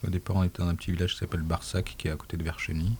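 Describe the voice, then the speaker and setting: A man who volunteers with a migrant aid group, speaking softly and haltingly in a casual radio interview, with many fillers. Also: low-pitched, flat delivery, a little hiss or hum. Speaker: association volunteer.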